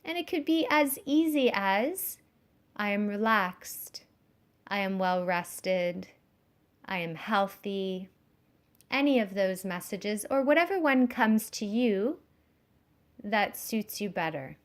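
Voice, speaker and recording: A woman giving guided yoga nidra instruction in a soothing, measured, gently instructive way. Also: mid-range voice, animated.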